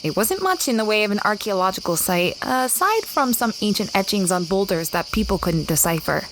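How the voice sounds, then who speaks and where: A woman's voice: mid-range voice, animated, fairly quick, lightly acted. Woman acting a kind space explorer, chirping jungle behind. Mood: reflective. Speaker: narrator and lead character. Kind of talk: audio drama narration and in-scene dialogue. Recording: insect-filled jungle noise behind.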